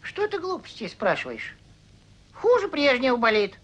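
High-pitched whiny cartoon hare. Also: female voice, wildly swooping pitch, moderate pace, breathy edge, heavily acted. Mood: whiny, demanding. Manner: whiny, theatrical moaning. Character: whiny scheming male hare feigning a crippled leg. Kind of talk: animated cartoon voice acting.

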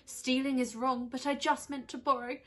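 A woman's voice: sits high, flat delivery, moderate pace, fairly bright tone, recorded from a distance. A woman voicing a meek, apologetic male thief in a children's storybook, sniffly and pleading. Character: sorry, sheepish male book thief, meek. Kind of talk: children's storybook character reading.

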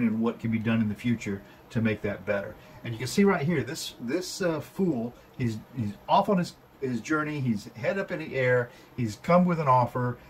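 A man giving an unscripted tarot reading at a table indoors, halting and full of fillers and restarts. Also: mid-range voice, a little hiss or hum, poor audio. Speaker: tarot reader.

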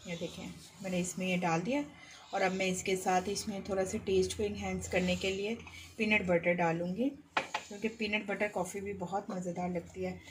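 A woman explains a recipe at her kitchen counter, chatting and repeating each step patiently. Her pitch sits in an ordinary middle range. A faint hiss of background noise runs under her voice. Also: level tone, normal speed. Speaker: cooking channel host. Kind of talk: home cooking tutorial narration.

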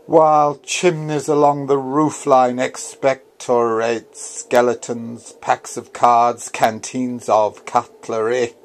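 Man, medium pitch, very slow pace, dramatic literary reading, theatrical, incantatory, deliberate phrasing. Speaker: author reading own novel.

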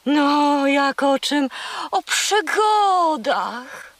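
A woman's voice: high voice, big pitch swings, very slow, no room sound, full character voice. A woman voicing a boastful, chatty, hungry female seagull in a children's audio play, haughty and dramatic. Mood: boastful storytelling.

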